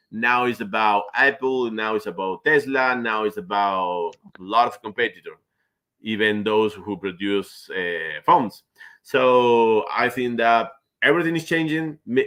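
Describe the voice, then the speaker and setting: Halting Spanish-speaking man on a call. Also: on the low side, fairly bright tone. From South America. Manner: halting, many restarts and repeated words. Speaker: guest, finance manager. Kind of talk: live video-call interview. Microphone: video call.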